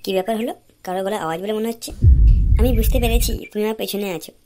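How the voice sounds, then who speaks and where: Woman playing a fast, sharp-tongued West Bengal cartoon wife. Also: mid-range voice, music in the background, natural voice. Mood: coy, teasing. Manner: teasing, brisk, bossy. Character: coy, scheming, sharp-tongued married village woman in cartoon. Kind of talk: comic cartoon dialogue.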